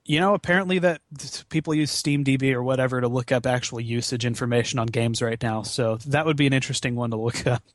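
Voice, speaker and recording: A man's voice: medium pitch, quick pace, hardly any pauses, dry recording. Rambling, casually sweary man, close dry sound. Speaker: podcast guest, charity gaming marathon organizer. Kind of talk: casual chat.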